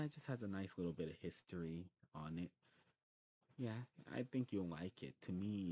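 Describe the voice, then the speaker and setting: Very deep-voiced man, rambling shop chat. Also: vocal fry, darkish tone, slight background noise. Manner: rambling, informal, self-correcting explanations. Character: friendly demon snuff shop keeper, knowledgeable and enthusiastic. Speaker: ASMR performer playing a snuff shopkeeper. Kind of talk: ASMR shop roleplay, casual recommending chat.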